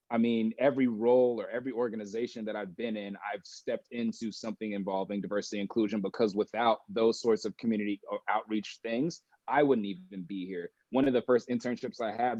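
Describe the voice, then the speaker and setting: A man's voice: lowish voice, a little mellow, no echo. Candid young man on a dull, dry call. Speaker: guest, esports industry professional. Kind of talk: conversational interview answers.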